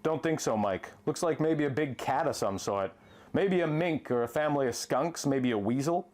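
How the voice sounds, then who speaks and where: A man's voice: medium pitch, moderate pace, darkish tone, lightly acted. A man playing a plain-spoken farm foreman in a pulp story reading, talking in clipped, folksy phrases. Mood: doubtful. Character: plain-spoken male farm foreman, old army buddy.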